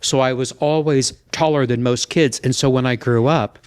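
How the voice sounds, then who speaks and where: An older man talking earnestly and plainly as a contestant on a dating show, explaining himself in a measured way. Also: mid-range voice, steady tone, smooth.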